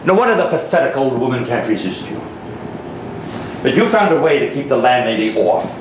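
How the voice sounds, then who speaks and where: A man's voice: mid-range voice, big pitch swings, brisk, close to an everyday voice. An actor playing a sarcastic, menacing married middle-class man in a stage play, needling in a theatrical manner. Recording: echoey, distant, noisy live stage recording.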